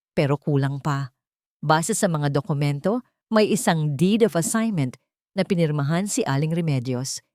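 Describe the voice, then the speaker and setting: A woman's voice: sits low, a little mellow, dry recording, clean studio sound. A woman voicing an urgent, methodical male ally in an audio drama, with a businesslike manner.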